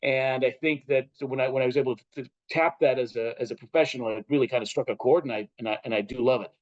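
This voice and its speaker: An American man with a mid-pitched voice answers as an interview guest. He explains things expansively and articulately in a conversational style. The recording sounds slightly dull. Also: fairly even intonation, fast. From Chile and Southern California. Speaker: interview guest, travel editor and broadcaster.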